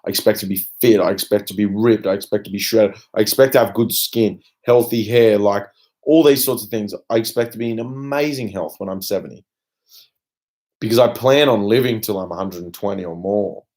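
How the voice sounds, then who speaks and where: A man's voice: on the low side, brisk, darkish tone. A young Australian man speaking off the cuff in a personal video rant, blunt and emphatic, with casual swearing and trailing asides. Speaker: vlogger. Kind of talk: unscripted personal rant to camera.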